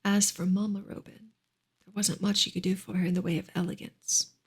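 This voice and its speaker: Soft, husky woman reading in ASMR style. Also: medium pitch, little pitch movement, a little fast. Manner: soft, hushed, occasionally slipping into whisper. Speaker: reader on an ASMR channel.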